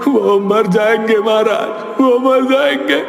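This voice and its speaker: A man playing a distraught poor villager in an animated moral story, wailing and begging for his family. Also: sits high, vocal fry, full character voice. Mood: wailing, pleading. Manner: wailing, sobbing, begging in drawn-out phrases. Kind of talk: melodramatic animated story acting. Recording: echoey hall reverb, faint music behind.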